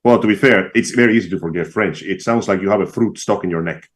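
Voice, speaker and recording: A man's voice: low-pitched, fast. A man co-hosting a casual online talk show, playful and full of jokes. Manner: playful, jokes in mock Italian. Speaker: podcast co-host. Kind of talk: casual comedic chat.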